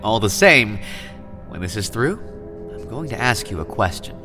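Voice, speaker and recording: An actor playing a sinister, mysterious male benefactor in an audio drama, probing and insistent in a deliberate way. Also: lowish voice, big pitch swings, over music. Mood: probing, ominous.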